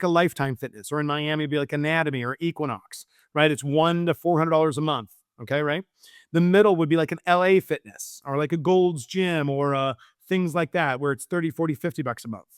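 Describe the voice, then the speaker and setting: A man's voice: fairly high pitch, clear, resonant tone, dry recording, studio quality. A man speaking as an interview guest in a casual, anecdotal way, often checking in with short tag questions. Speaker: real estate investor, interview guest. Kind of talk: casual conversational interview talk.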